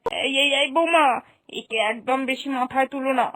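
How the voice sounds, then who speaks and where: A woman playing an exasperated, sharp-tongued older mother and mother-in-law in a cartoon moral story, scolding with reproachful questions. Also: high voice, moderate pace, rough recording. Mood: complaining.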